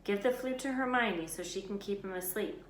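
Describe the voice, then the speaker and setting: A woman voicing a blunt, excitable schoolboy with a snappy, sarcastic manner in a storybook reading. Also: medium pitch, moderate pace, close to an everyday voice. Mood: uneasy. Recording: roomy echo, background noise.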